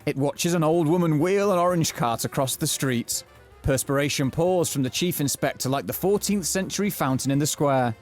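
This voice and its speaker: A man narrating a mock-thriller audio drama from a script, telling it in a florid, deadpan way. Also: fairly high pitch, moderate pace, soft background music, close to an everyday voice.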